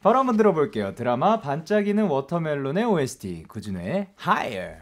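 A young man hosts a radio show from a studio. His intonation moves up and down in a very lively way as he introduces segments and reads out messages. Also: fairly high pitch, fairly quick. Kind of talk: hosting a radio talk show, reading listener messages. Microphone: studio microphone with pop filter, close.

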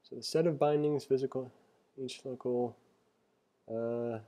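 A man giving a casual tutorial as an instructor, reading aloud and commenting informally as he thinks out loud. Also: medium pitch, fairly even intonation, unhurried. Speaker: programming instructor. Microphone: screen-recording voice-over.

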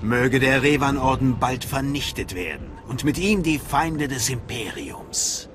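An actor playing a haughty, guarded Sith sorcerer in a game, speaking formally with cold self-assurance. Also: male voice, medium pitch, animated, measured pace, noisy background, lightly acted. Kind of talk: dramatic game voice acting.

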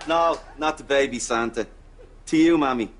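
A man's voice: medium pitch, moderate pace, a loud crowd, natural voice. Man playing a devoted grown-up Irish son. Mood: heartfelt. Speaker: sitcom actor. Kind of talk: studio sitcom acting. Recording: studio sitcom, faint audience noise.

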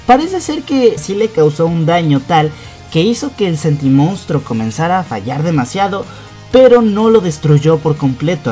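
A man's voice: fairly high pitch, a little mellow. A young adult man hosting a narrated countdown breakdown, explaining conversationally with emphasis. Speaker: YouTube host. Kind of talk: narrated cartoon episode breakdown, countdown list. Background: background music.

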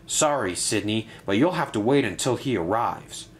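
A man voicing a proud, determined male steam engine who speaks firmly and briskly. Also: lowish voice, expressive, close to an everyday voice. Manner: firm, brisk, decided.

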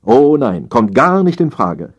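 Man putting on a nagging, husky housewife empress. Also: mid-range voice, very animated, a little slow, dull audio, voice pushed up. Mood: bossy scolding. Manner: scolding, complaining, fussy. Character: nagging, put-upon housewife empress, exasperated and bossy.

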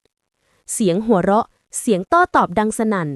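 A woman reading a scripted story aloud as an expressive storyteller. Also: medium pitch, a little fast, no room sound, clean studio sound.

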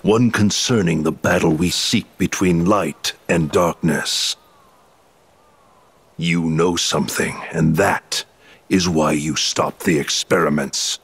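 An actor playing a cold, commanding villain in a video game cutscene, speaking in a measured, menacing, accusing way. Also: male voice, low voice, steady tone, fairly bright tone, breathy edge, exaggerated voice. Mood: cold menace.

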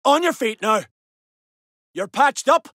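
Video game commando, Irish male: gruff soldier, high-pitched forceful delivery, brusque urgent callouts. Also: animated, slow pace, no room sound, studio quality, exaggerated voice. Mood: steady, comradely. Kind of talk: game combat voice lines, short barked callouts. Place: studio, dry close recording.

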